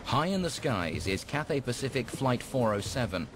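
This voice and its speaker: A man's voice: mid-range voice, sing-song, normal speed. A man reading scripted narration for a television documentary. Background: music.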